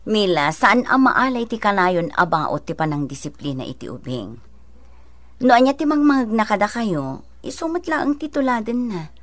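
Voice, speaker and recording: An actress playing a sensible mother and elder sister who gives gently admonishing advice in a radio drama. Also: medium pitch, very animated, normal speed, close to an everyday voice. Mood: patient advice. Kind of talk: Ilocano radio drama acting.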